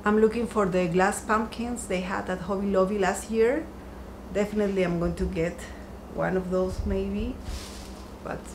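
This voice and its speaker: A woman's voice: medium pitch, noisy background. Chatty woman showing off decor finds. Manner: chatty and upbeat, lingering softly over each item. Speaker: home decor vlogger. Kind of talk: casual shopping haul chat. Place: home dining room, seated by a window.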